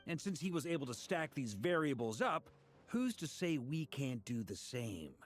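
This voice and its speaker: A man's voice: medium pitch, sing-song, normal speed, faint music behind, close to an everyday voice. An actor playing a confident, easygoing male knight commander in a video game, giving informal, rousing encouragement. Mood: rallying, resolute. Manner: informal, rousing pep-talk delivery.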